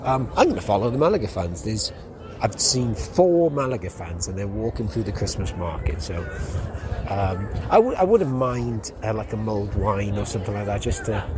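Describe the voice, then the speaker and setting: A young man, a podcast host, chatting casually in a rambling way with lots of ums while walking outdoors through a city. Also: fairly low pitch. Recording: outdoor street noise. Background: street and traffic noise.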